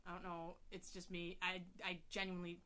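Bright-voiced woman theatre director. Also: fairly low pitch, completely flat delivery, brisk, clear, resonant tone. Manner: frank, rambling, speaks with authority. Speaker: high school theatre director. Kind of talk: casual podcast chat.

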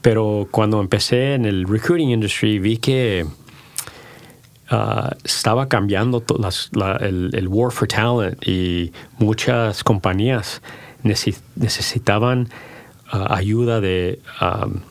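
A middle-aged man, a podcast guest who talks in halting bursts with many restarts and slips into English. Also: fairly low pitch, no room sound. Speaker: podcast guest, company founder. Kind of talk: casual bilingual interview.

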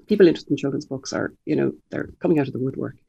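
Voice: mid-range voice, rapid-fire, gravelly, warm tone, slightly rough, dull audio. An older author and publisher with an Irish accent, speaking thoughtfully in an interview, with frequent ums and restarts. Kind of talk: reflective interview conversation.

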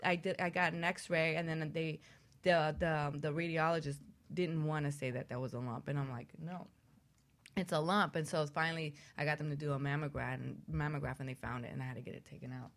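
A woman's voice: low-pitched, steady tone, a little fast. A woman co-hosting a radio show, chatting casually in an informal, conversational manner. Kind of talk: casual chat.

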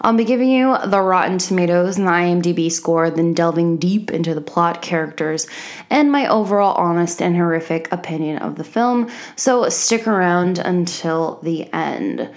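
A woman's voice: on the low side, normal speed. Congested young woman chatting casually. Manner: chatty, many fillers like um and like. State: congested, a little sick. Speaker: podcast host. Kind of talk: casual chat. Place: home office.

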